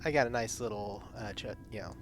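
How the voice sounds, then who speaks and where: A man's voice: lowish voice, fast, faint music behind. Easygoing man at the game table. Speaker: player.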